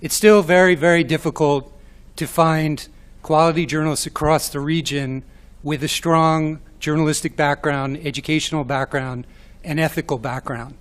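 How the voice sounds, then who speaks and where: A man with a New York accent speaking as a panelist, measured and thoughtful with some restarts. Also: a little high, steady tone. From New York City. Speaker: panelist, media organization director. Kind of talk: panel talk.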